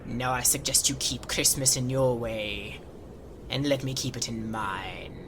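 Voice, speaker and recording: A woman's voice: a bass voice, a little slow, background noise, voice pushed down. A woman doing a sneering, whispery old miser. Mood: scornful. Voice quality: harsh, half-whispered. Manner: sneering, clipped. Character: bitter miserly old man, cold and scornful.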